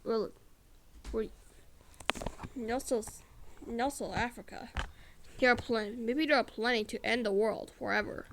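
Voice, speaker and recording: Female improviser, slow and hesitant, repetitive rambling narration, slightly high pitch, faintly noisy recording with clicks. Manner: halting, repetitive, searching for words.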